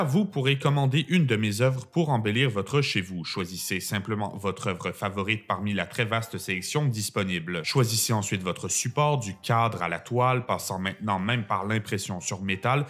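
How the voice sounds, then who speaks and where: A man's voice: on the low side, fairly bright tone. A man hosting a video, delivering a scripted promotional segment to his viewers.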